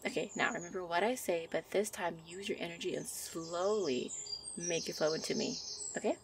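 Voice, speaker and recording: Woman voicing a shy, gentle fairy over birdsong. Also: on the low side, moderate pace, vocal fry, noisy background. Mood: gently instructing. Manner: soft, encouraging, affectionate, giggly. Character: shy, gentle young female nature fairy, affectionate teacher. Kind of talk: ASMR roleplay. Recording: birdsong and outdoor ambience behind. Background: birdsong ambience.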